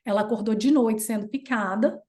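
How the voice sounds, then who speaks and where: A Brazilian woman in her thirties, speaking with a Brazilian Portuguese accent and a voice pitched a little higher than most. She chats as an interview guest, telling her stories with lively, playful leaps in pitch. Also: fairly quick. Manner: chatty and animated, playful jumps in pitch when retelling. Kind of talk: casual storytelling chat.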